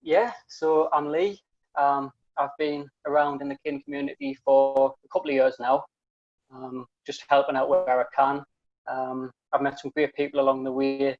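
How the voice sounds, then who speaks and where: A young man with a broad British accent asks community questions over a video call. His voice sits a little high, with a gravelly fry, and the call audio sounds dull and lo-fi. He speaks in a friendly way, with frequent ums. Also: normal speed. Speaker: community council member asking questions. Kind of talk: casual video call interview. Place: small room at home. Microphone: earphone headset on video call.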